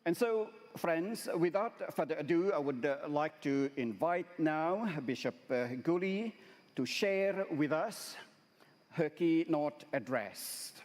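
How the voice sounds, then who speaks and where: A man hosting a plenary, introducing speakers formally and haltingly with frequent uhs, recorded in a large reverberant hall. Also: fairly high pitch, expressive, a little mellow.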